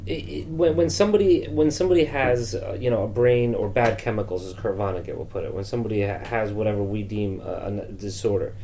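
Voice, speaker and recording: A man's voice: on the low side, fast, hardly any pauses, dark tone, a little hiss or hum. A man hosting a casual conversational podcast, probing tentatively and searching for words as he builds each point. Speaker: podcast host.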